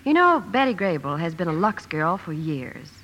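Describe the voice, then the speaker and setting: Lively, bright-voiced American woman reporter. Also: fairly low pitch, moderate pace. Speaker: radio Hollywood reporter. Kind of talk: scripted 1950s promotional radio patter. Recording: noisy vintage 1950 radio broadcast.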